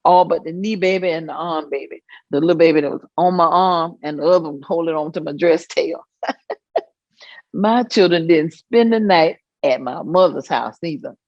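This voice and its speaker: A woman's voice: low-pitched, moderate pace, a little mellow, dry recording, lo-fi sound. An actress playing a proud, amused older mother with a Southern US accent, telling folksy stories in a staged reading. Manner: folksy, chuckling storytelling. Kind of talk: staged reading of oral history.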